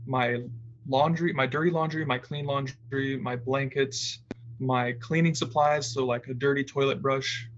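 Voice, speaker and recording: Young man, flat, on a headset. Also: mid-range voice, highs cut off, a little hiss or hum. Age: 20s. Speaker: formerly incarcerated interviewee. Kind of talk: testimony on a video call.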